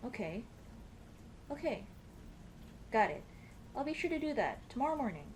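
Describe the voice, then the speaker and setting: A woman's voice: sits high, very animated, moderate pace, a little mellow, slight background noise. A young woman playing a shy, teasing tomboy childhood friend in love, in a casual, hesitant and intimate ASMR roleplay. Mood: embarrassed, fond. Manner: playful, bashful, hesitant, casual dude-talk. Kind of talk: ASMR roleplay acting, intimate girlfriend scene.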